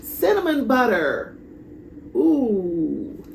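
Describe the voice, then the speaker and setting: A woman reading a children's story aloud in a dramatic, deliberate way with pauses. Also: fairly high pitch, big pitch swings. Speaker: storybook reader. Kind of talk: expressive children's read-aloud. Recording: echoey room, clear background noise.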